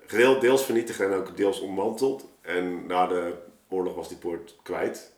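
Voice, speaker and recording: Man, deep voice, casual podcast storytelling, rambling colloquial phrasing, echoey room. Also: darkish tone. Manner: rambling, colloquial, thinking aloud. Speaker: podcast guest, audio drama maker. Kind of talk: casual chat, informal storytelling. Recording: roomy, echoey space.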